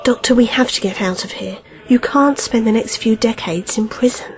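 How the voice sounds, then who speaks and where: Woman as a high-voiced, determined companion. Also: normal speed, noisy background, lightly acted. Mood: urgent, exasperated. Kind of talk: audio drama acting.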